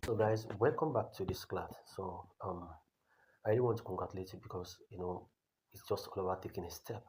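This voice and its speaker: Nigerian man, Nigerian accent, blunt lecturing coach, quick delivery, mellow dark tone. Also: sits low, slight background noise, rough recording. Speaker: online career coach. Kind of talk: talking-head tutorial.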